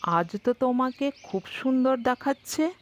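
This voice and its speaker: A woman voicing a sly, hungry, flattering fox in a children's cartoon story. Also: high voice, slight background noise. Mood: coaxing flattery. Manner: unhurried, coaxing flattery. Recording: dull lo-fi sound with music behind. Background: music.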